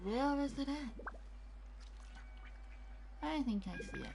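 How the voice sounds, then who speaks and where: A woman's voice: high voice, wildly swooping pitch, slow pace, background noise. A woman giving casual gameplay commentary, thinking aloud as a streamer. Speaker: game streamer.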